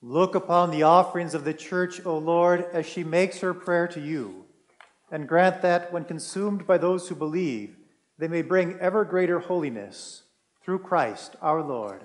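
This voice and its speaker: A priest in his thirties leads the liturgy from a church altar. His voice sits fairly high for a man, and he intones the prayers slowly and solemnly. The delivery is even and deliberate. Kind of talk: leading mass liturgy.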